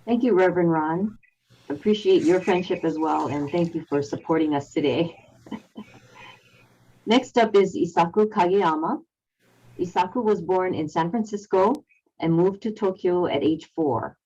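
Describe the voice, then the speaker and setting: A woman's voice: lowish voice, moderate pace, warm tone, husky, dull audio. A woman moderating an online panel, thanking a speaker and reading out the next guest's introduction.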